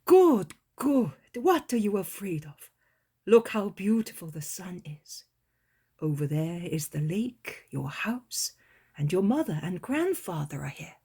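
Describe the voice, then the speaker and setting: A woman voicing a gentle, calm male teacher in a soft, hushed, near-whispered voice. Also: on the low side, takes it slowly, slightly rough, heavily acted.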